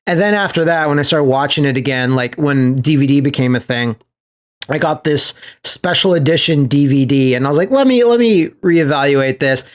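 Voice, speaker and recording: A man's voice: fairly high pitch, moderate pace. A man co-hosting a podcast, chatting casually and telling stories with enthusiasm, quoting reactions and swearing freely. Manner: animated storyteller, acts out quotes, swears casually. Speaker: podcast host.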